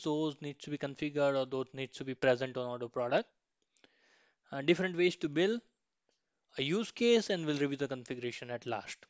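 A man presents an instructional slide tutorial in a fairly high, smooth voice. He explains point by point and often adds little checking tags. The sound is close and dry, though slightly dull. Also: no echo. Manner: instructional, frequent checking tags like "right?" and "okay".

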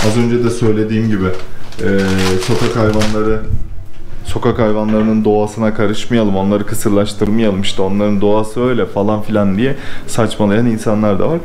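A man's voice: lowish voice, a little mellow. A man in his thirties chatting casually to camera as a vlog host. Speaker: vlog host at a veterinary clinic. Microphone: action camera at arm's length. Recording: echoey clinic rooms, faint background noise.

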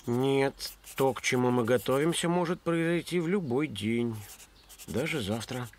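A man playing an earnest, gloomy, portly schoolboy in a cartoon, speaking solemnly and deliberately. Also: medium pitch, lightly acted. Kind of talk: cartoon voice acting.

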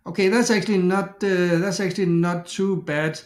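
A middle-aged man speaks English with a Danish accent in a slightly high voice, chatting casually as he walks through a screen-share tutorial. He thinks aloud and often restarts his sentences. The sound is roomy and echoey, from a home office. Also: fairly even intonation, moderate pace. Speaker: SEO tutorial host. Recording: echoey room.

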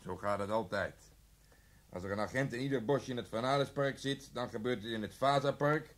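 A man acting as an irritable police officer in a radio play. He grumbles with biting sarcasm, in a medium-pitched voice, sounding thoroughly exasperated. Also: brisk, lightly acted. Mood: sarcastic, exasperated. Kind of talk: Dutch radio drama acting.

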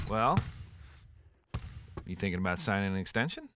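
A man's voice: lowish voice, big pitch swings, measured pace. A man playing a friendly, nosy sports reporter in a video game, with an American accent. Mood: probing. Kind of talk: naturalistic video game cutscene acting. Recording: noisy gym with bouncing ball clicks.

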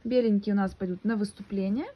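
A woman's voice: medium pitch, steady tone, quick pace, highs cut off, a little hiss or hum. A young woman chatting casually into her phone at home, rambling with lots of fillers and restarts. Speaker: vlogger, mother. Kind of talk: casual home vlog chat. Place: room at home, indoors. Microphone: phone at arm's length.

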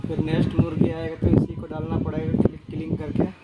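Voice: medium pitch, completely flat delivery, fairly quick, lo-fi sound. A vlogger narrating casually and plainly to viewers, repeating points while explaining. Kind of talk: casual vlog narration, talking to viewers and a child. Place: large open-sided empty poultry shed. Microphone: handheld phone camera, operator behind it. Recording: noisy phone recording in open farm shed.

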